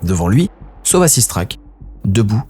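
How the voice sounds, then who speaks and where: A man's voice: fairly low pitch, big pitch swings, measured pace, a little mellow, husky. A man giving a dramatic scripted narration of a story. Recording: background music under narration.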